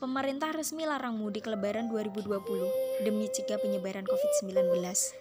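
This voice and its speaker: A woman's voice: a little high, very animated, fast. A young woman, a student narrating a scripted explainer and addressing viewers like friends. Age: young adult. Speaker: university student presenter. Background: loud music under the voice.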